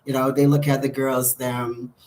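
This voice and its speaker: A man's voice: medium pitch, little pitch movement, moderate pace, a little mellow, a little hiss or hum, rough recording. A man in his thirties with a Bahamian accent tells a personal story to camera in a candid, hesitant way. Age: 30s. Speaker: vlogger.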